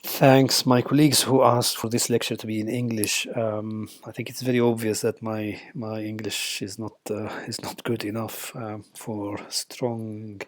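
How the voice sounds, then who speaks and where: A man's voice: on the low side, level tone, a little slow, hardly any pauses. A middle-aged man whose first language is Arabic, giving an informal teaching lecture with many fillers and restarts. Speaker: radiation oncology lecturer.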